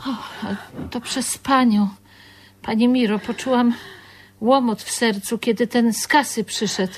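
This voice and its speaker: A woman's voice: on the high side, steady tone, unhurried. An actress playing a brash, earthy working-class woman in a radio drama, blunt and colloquial. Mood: earnest confiding. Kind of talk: theatrical radio drama acting.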